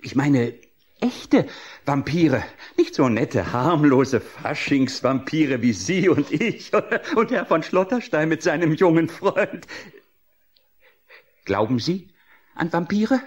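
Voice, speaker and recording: A giggly, lonely graveyard worker in a radio play. He gushes theatrically in a high, swooping voice, clingy and far too eager to make friends. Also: big pitch swings, takes it slowly, lo-fi sound, exaggerated voice. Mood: confiding, coaxing. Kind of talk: German radio play acting.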